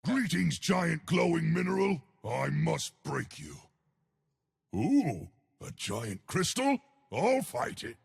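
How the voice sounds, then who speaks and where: A hearty living rock giant from a video game, brawling yet good-natured. The voice is very rough and husky, delivered slowly, with big booming swoops in pitch. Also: male voice, very animated, full character voice. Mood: eager, combative. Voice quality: gravelly, husky. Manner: booming, hearty, slow and emphatic. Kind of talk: video game character voice lines.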